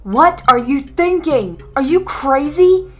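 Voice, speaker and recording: A woman's voice: high-pitched, expressive, takes it slowly, fairly bright tone, heavily acted. A woman voicing a mad, frantic young man who scolds in a dramatic demo.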